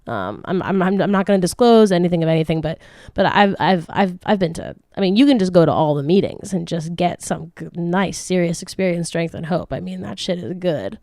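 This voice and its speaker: A woman's voice: on the low side, fairly quick, mellow, dry recording, clean studio sound. Chatty frank woman in her late twenties. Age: late 20s. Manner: chatty, frank, swears freely, laughs often, many restarts. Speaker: podcast host answering listener questions. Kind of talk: casual chat.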